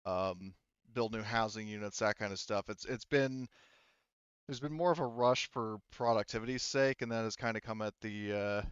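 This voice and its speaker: A man's voice: fairly low pitch, a bit bright, clear, resonant tone, no room sound, muffled recording, lightly acted. Man playing a weary, hesitant woman engineer. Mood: thoughtful, candid. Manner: hesitant, halting, candid. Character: weary, candid overworked woman engineer in a space colony.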